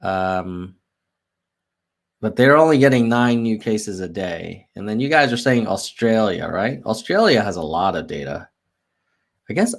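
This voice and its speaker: American guy rambling thru charts on a stream, pitch bounces around a lot, reads chat out loud. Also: vocal fry. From United States. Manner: musing aloud, frequent ums and self-corrections; reads viewer chat aloud. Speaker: livestream host. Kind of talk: casual data walkthrough on livestream.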